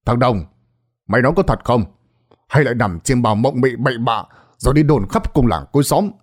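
A man's voice: medium pitch, expressive, fairly quick, natural voice. A man voicing a doubtful male villager who challenges bluntly and skeptically.

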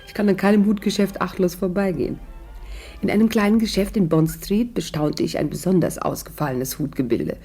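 A wry young heroine in a 50s radio play, lowish voice, stubborn and ironic, music behind. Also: female voice, fairly quick, mellow, slightly rough. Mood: wry, confiding. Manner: ironic, bantering, theatrical. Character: witty, stubborn young English actress, hat lover, narrating. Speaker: actress narrating and playing the heroine. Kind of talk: 1950s German radio play acting.

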